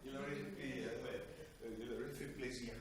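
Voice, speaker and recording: A man in his sixties, born in Italy and raised in the United States, giving a hesitant, explanatory academic presentation as a chemistry professor, pausing often with fillers. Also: mid-range voice, fairly even intonation. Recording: echoey room, distant mic, faint noise.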